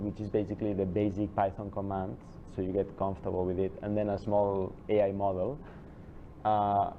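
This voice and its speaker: Chatty low-voiced man lecturing a class. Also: dark tone, slight background noise. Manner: chatty, digressive, with halting restarts. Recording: dull lo-fi classroom recording.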